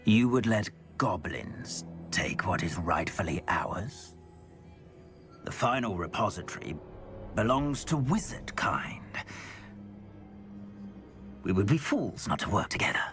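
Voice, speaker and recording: An arrogant villain wizard from a fantasy game. He is oily and condescending, the pitch low but swinging wildly, every phrase drawn out theatrically over a music bed. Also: sing-song, slow, pauses often, fairly bright tone, heavily acted. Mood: probing, insistent. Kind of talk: video game villain voice acting.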